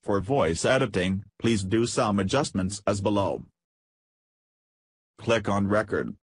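Husky, low-voiced man narrating a tutorial. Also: a little slow, dry recording, clean studio sound. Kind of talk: scripted software tutorial narration.

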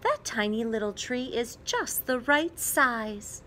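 A woman's voice: fairly high pitch, expressive, takes it slowly, sharp tone, a little hiss or hum, full character voice. A woman voicing a grumpy female hedgehog in a storybook, exclaiming emphatically. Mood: brash. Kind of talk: storybook read-aloud character voice.

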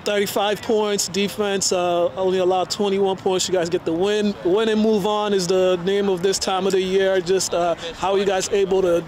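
A man's voice: sits high, level tone, moderate pace, hardly any pauses, a little mellow. A man working as a sports interviewer, asking casual postgame questions outdoors. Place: outdoor football stadium at night. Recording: clear outdoor ambient noise.

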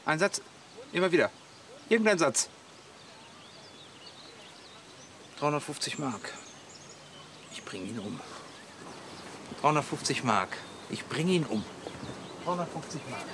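An actor playing a hot-headed, stubborn, grumbling husband in a radio play, blustering and indignant. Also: male voice, a little high, very animated, moderate pace, long pauses, background noise. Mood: seething. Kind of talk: German radio play acting.

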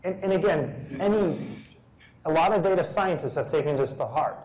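A man's voice: a little high, lively intonation, moderate pace, a little mellow, a little hiss or hum. Male co-presenter making emphatic points, recorded in an echoey lecture hall. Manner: emphatic, chiming in. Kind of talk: live tech meetup talk. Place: large lecture room with audience.

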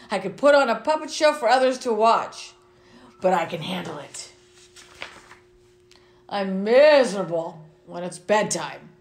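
A middle-aged woman reading a children's picture book aloud to students in an expressive way. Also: a little high. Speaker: school vice principal reading to students. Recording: roomy, echoing sound.

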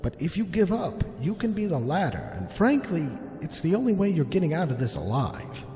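A man's voice: on the high side, big pitch swings, moderate pace, mellow, echoey room, long reverb tail, faint music behind, pitched above the performer's own voice. A man playing an urgent, weary older cop. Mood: urgent pleading. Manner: pleading, urgent.

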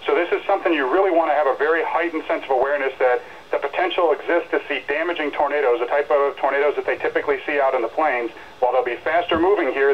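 A man's voice: a little high, slight background noise. A man giving a live severe weather briefing as a meteorologist, earnest and explanatory, heard over a radio. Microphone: radio speaker re-recorded by a camera in a room. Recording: muffled weather radio broadcast played through speaker.

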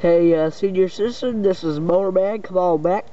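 High-pitched man making CB radio calls. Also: moderate pace. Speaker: CB radio operator, video maker. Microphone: camera mic beside a CB radio set. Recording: dull sound, clear radio static. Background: radio static and clicks.

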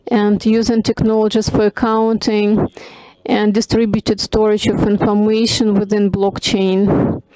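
A woman giving simultaneous interpretation of a panel discussion, halting, with many pauses and restarts. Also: medium pitch, mellow, no echo, low-quality recording. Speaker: conference interpreter.

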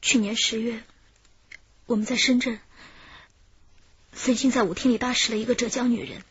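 A woman's voice: on the high side, fairly even intonation, moderate pace, breathy edge, no echo, lo-fi sound. An actress playing a wary, resigned female suspect under questioning in a radio crime drama, guarded and confessional. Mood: confessing.

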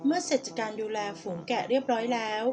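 A woman reading a fable aloud as a storyteller, in a calm, measured manner. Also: fairly high pitch.

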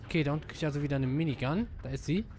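A man giving casual live gameplay commentary, excitable and slangy, dropping in English gamer words. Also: on the high side, very fast, slight background noise. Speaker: let's player.

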